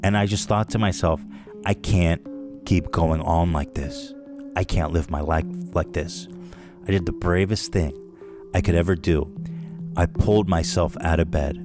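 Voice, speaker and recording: A man hosting a podcast, telling a comic story in a dramatic way, dropping now and then into a hushed whisper. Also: deep voice, a little slow, music in the background. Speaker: podcast host.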